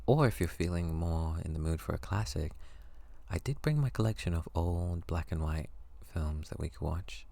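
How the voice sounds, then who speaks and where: A man playing an excitable, affectionate young best friend with a secret crush in an ASMR roleplay, teasing and bashful. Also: deep voice, normal speed, gravelly, dry recording. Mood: bashful, easygoing. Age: young adult.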